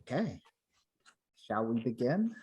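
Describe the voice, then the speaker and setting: A host giving an informal live welcome, tentative and low-key. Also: big pitch swings, slow pace, a little mellow, muffled recording.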